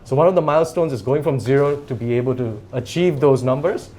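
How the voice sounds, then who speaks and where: A man's voice: medium pitch, fast talker, warm tone. A man in his forties whose first language is Japanese, talking as a company CEO in a podcast interview, chatty and telling stories with small laughs. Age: 40s. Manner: chatty storyteller, laughs between phrases, rambling. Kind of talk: casual interview conversation.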